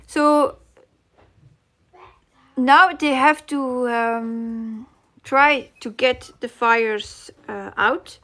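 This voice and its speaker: A woman's voice: fairly high pitch, fairly even intonation, very slow, pauses often, sharp tone. A middle-aged woman with a Dutch accent hosting a casual live chat, reading comments aloud and searching for her English words. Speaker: cross-stitch live stream host.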